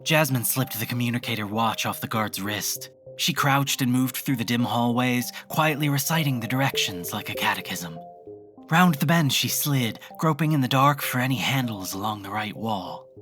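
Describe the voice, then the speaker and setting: A narrator of uncertain sex telling a horror audio drama in scripted, deliberate storytelling phrases. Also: medium pitch, lively intonation, a bit bright, soft background music, natural voice.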